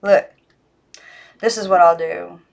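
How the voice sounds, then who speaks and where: A woman voicing a lonely young girl who speaks plainly and gently, in a storybook reading. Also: lowish voice, fairly even intonation, takes it slowly, gravelly. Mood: tender, wistful. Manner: plain, gentle, short answers. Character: Maggie, lonely young girl, tender and matter-of-fact.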